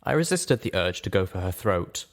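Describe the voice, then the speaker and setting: A man's voice: sits low, big pitch swings, moderate pace. A man reading scripted first-person fiction as an audiobook narrator.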